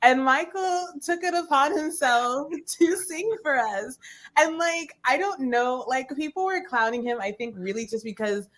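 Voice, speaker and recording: A woman's voice: high voice, animated, measured pace, a bit bright. A woman chatting casually and candidly as a podcast guest. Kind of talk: casual chat.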